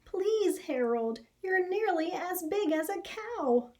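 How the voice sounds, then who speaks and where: A woman's voice: extremely high pitch, animated, takes it slowly, mellow, pitched above the performer's own voice, exaggerated voice. A woman voicing an anxious mother rabbit in a storybook, with a pleading, wailing manner. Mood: pleading, despairing.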